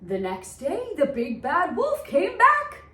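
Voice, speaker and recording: Very high-pitched, theatrical woman storyteller. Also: slow pace, distant mic, a little hiss or hum. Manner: theatrical, expressive. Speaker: children's teacher and storyteller. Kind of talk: dramatic storybook read-aloud for preschoolers. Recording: echoey room.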